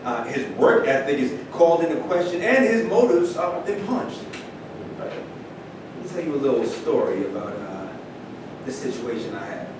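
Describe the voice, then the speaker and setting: A man's voice: lively intonation, moderate pace, natural voice. A man playing a proud, indignant former football star telling his story in a staged reading. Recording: echoey hall, distant mic, rumbling noise.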